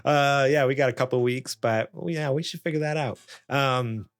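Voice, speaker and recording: A man's voice: mid-range voice, normal speed, clear, resonant tone, dry recording. A man of about thirty hosting a podcast with casual, jokey, self-deprecating banter. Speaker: podcast host. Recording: music playing behind. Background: background music.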